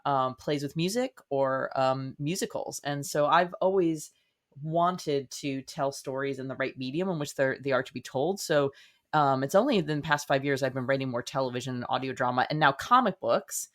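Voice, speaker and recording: A woman's voice: a bass voice, normal speed. A woman speaking as an interview guest, a playwright talking conversationally in an effusive, emphatic way.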